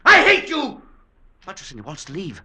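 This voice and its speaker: An actor playing a terrified old professor haunted by an unseen presence, in a vintage radio drama. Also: male voice, high-pitched, huge pitch swings, moderate pace, heavily acted. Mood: frantic. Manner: fearful, breaking into frantic shouts. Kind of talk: 1940s radio acting.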